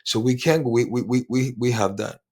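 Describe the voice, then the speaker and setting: A man's voice: medium pitch, steady tone, normal speed, a little mellow. A man co-hosting a casual podcast, rambling and repeating words, with bits of Spanish mixed in. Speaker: podcast co-host. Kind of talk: casual chat.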